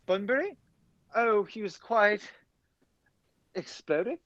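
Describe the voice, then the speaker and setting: A man playing an evasive, flustered young gentleman in an amateur radio play, hesitant and bluffing, on a dull, hissy recording. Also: sits high, sing-song, slow, heavily acted.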